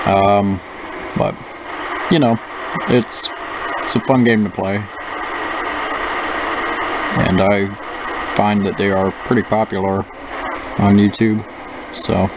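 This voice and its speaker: A young man hosts his gaming channel in a low, easygoing voice. He rambles through casual commentary full of ums and restarts. A steady simulated engine drone sits clearly behind his voice. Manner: rambling, many fillers and restarts. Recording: noisy with engine sounds from the game. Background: simulated bus engine noise.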